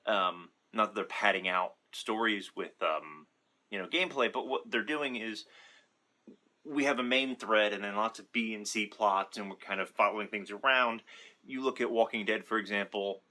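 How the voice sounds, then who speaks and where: A man with a somewhat low-pitched voice that is bright and forceful. He talks like a video commentator sharing opinions off the cuff. His delivery is informal and rambling, with self-corrections and loose, sweary phrasing. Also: normal speed. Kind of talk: casual opinion commentary, unscripted.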